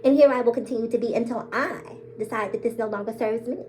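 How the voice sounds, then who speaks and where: A woman's voice: a little high, quick pace, a little mellow, slight background noise. Sassy, bold woman vlogger. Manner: sassy, bold, laughs often; addresses viewers as girl, sis, baby. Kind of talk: casual to-camera monologue.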